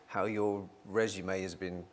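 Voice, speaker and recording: A man interviewing a guest, asking measured questions and backing him up with steady affirmations. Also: low-pitched. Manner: measured, affirming, frequent "mm-hmm" backchannels. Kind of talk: conversational interview.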